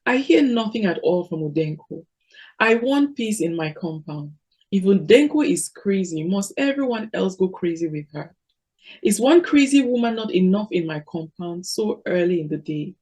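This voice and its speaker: A woman reading aloud, voicing a stern older husband who commands his quarrelling wives to be quiet. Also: on the low side, lively intonation, normal speed, husky. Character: gruff older husband, weary head of compound.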